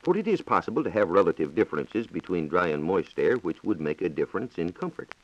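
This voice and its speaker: A man's voice: low-pitched, sing-song, fast talker. An American man reporting on science in a scripted, formal and precise 1940s radio style, on a dull, echoey old recording.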